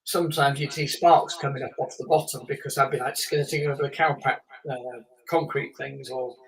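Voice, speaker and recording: A man's voice: medium pitch, steady tone, fairly quick, gravelly, a bit bright, distant mic, rough recording. A man in his late fifties, a guest on a live chat, telling long stories with many fillers and small laughs. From England. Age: 50s. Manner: rambling storyteller with fillers and laughs. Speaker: guest, former racing driver.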